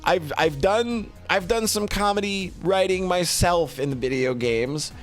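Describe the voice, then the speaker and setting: A man streaming game reviews in a casual, rambling, expressive and effusive way, talking to a live chat and swearing casually. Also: high-pitched, measured pace, soft background music.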